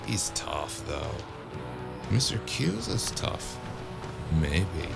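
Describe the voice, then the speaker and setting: A man's voice: low-pitched, wildly swooping pitch, drawn-out words, vocal fry, full character voice. An actor playing a dazed, cocky hardboiled brawler who narrates his own fight in clipped, terse phrases, in the style of a noir audio drama. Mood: dazed, grim. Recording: loud music under the voice.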